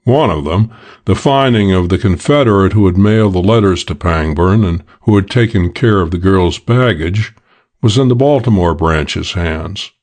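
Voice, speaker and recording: Casting: male audiobook narrator, lowish register, measured and matter-of-fact delivery for hardboiled detective prose. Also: a little mellow.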